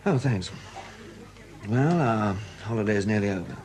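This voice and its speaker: Male police detective, brisk and probing with dry humour, vintage radio drama. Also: on the low side, animated, a little mellow, low-quality recording. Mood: affable, preoccupied. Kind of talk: 1970s radio drama acting. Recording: dated 1970s radio drama recording.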